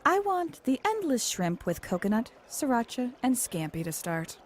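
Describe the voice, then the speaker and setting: A woman's voice: on the high side, very animated, moderate pace. An actress playing a wry, exasperated young American career woman with a sardonic, candid manner in an audio drama. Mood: upbeat, teasing.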